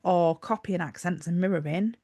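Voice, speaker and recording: A woman's voice: on the low side, moderate pace, dark tone, dry recording. Woman, Yorkshire accent, podcast co-host, casual chat, dry close sound, thoughtful, restarts often. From Yorkshire, England. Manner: thoughtful, explaining with frequent fillers and restarts.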